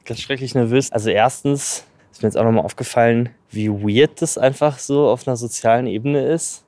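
A man's voice: medium pitch, fairly quick, a little mellow, no echo. Young man, dry close sound. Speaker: podcast guest telling a personal story. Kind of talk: casual chat.

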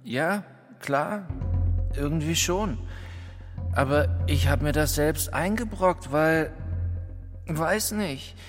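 An actor playing a guilty, hapless young drifter in a radio drama, colloquial and sheepish. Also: male voice, on the high side, slow, long pauses. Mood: remorseful. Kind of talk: German radio drama acting. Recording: reverberant hall with music behind.

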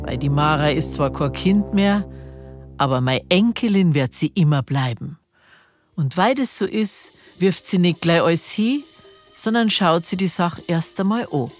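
A woman's voice: on the low side, animated, measured pace. A woman playing a deceased old Bavarian grandmother and innkeeper, wryly and self-assuredly narrating an audio drama in dialect. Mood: knowing, fond. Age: older. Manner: storytelling, self-assured, dry. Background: music with brass.

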